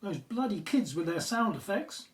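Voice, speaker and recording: A smug British male scholar mutters and grumbles to himself in an audio drama. His pitch moves about in a very lively way, and there is faint noise on the recording. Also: moderate pace. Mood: irritated. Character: gloating male Egyptologist, talking to himself at home.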